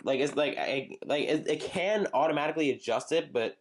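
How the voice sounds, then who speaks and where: A man's voice: a little high, a little hiss or hum. Rambling young man showing off gadgets. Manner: rambling, halting, with frequent fillers and restarts. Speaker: channel host showing weather radios. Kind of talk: casual show-and-tell chat.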